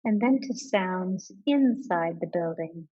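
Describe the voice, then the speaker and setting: A woman leading a guided yoga nidra meditation in a calm, measured manner with pauses between instructions. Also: on the low side, muffled recording.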